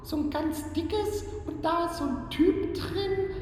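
Out of a noisy, cavernous space comes a woman acting a high-pitched, fussy mother. She scolds and explains as if to a small child, exasperated yet doting, in a comic sketch. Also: slow pace, a little mellow. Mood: patiently explaining. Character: exasperated, nagging, doting mother of a dark lord. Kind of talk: comedy audio sketch. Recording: cavernous echoing hall with noise.

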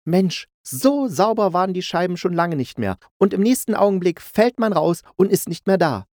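Guy doing a gossipy older mom, voice way up high, insistent n mock-tragic, audiobook bit, dry sound. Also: big pitch swings, moderate pace, a little mellow, no room sound. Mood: lamenting. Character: chatty gossiping older mother, lamenting a neighbour's death.

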